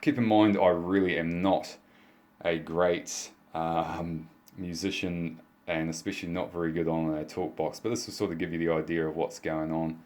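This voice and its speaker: A man's voice: low voice, fairly even intonation, moderate pace, a little mellow. A man. He demonstrates a homemade project casually and off the cuff. His delivery is hesitant and self-deprecating. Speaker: hobbyist video maker. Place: indoors at a home workbench.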